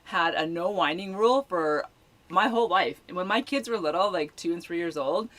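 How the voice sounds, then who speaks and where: A middle-aged woman with a low voice, co-hosting a casual live-stream chat and telling stories with laughter. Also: normal speed. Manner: animated, laughing storyteller. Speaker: co-host and campground staff.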